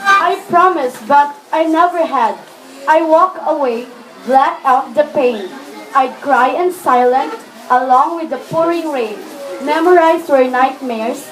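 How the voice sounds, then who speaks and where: A woman's voice: high voice, takes it slowly, slight background noise, low-quality recording. A female student reciting scripted narrative lines.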